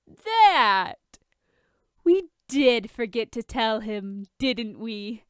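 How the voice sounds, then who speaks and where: A woman's voice: a little high, sing-song, extremely slow, clear, resonant tone, no room sound, heavily acted. A woman voicing a tipsy, brash male billionaire in a fan fiction reading, teasing and mock-dramatic. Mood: gleefully drunk.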